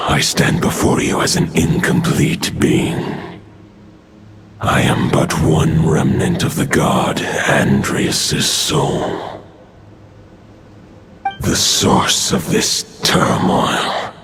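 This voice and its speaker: A grave, protective wolf god in a video game, speaking with slow, solemn authority. The voice sits at the very bottom of the range and carries a gravelly growl. A loud orchestral score plays beneath him throughout. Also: male voice, a bass voice, heavily acted. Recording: game audio over loud music.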